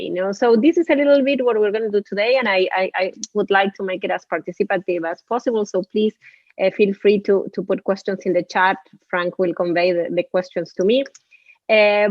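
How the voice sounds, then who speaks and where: A woman's voice: mid-range voice, dull audio. A woman from Argentina with an Argentinian accent, giving a lecture on a webinar. She talks earnestly and often restarts and repeats words. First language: Spanish. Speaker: professor and webinar speaker.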